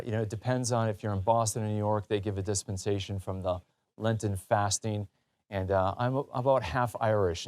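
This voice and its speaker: A man's voice: fairly low pitch, darkish tone. A man hosting a talk show in a conversational, enthusiastic and emphatic way.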